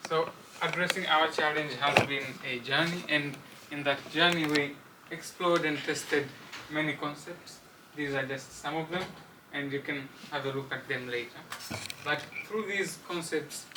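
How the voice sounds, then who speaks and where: Man from Tanzania, distant with room noise. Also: a little high. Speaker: student presenter. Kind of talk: student project presentation.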